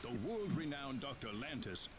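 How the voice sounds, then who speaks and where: A man playing a grave planetary leader in a video game, speaking in a formal, measured, solemn way. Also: mid-range voice, very animated, close to an everyday voice. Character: grave male leader of a high-tech planet, explaining past war. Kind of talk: scripted game exposition. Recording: game audio under streamers' chatter.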